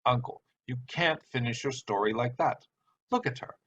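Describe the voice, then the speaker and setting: A man's voice: medium pitch, moderate pace, fairly bright tone, lightly acted. A man voicing an earnest young nephew who protests and pleads with his uncle. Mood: pleading. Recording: dull sound with background hiss.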